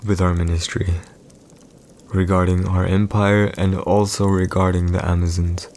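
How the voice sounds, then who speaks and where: Man playing a low-voiced, imperious villain emperor. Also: darkish tone. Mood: measured, condescending. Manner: measured, deliberate, imperious, halting pauses. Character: cold, commanding male villain emperor, proud and calculating. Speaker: audio roleplay voice actor. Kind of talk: scripted boyfriend audio roleplay, slow dramatic monologue.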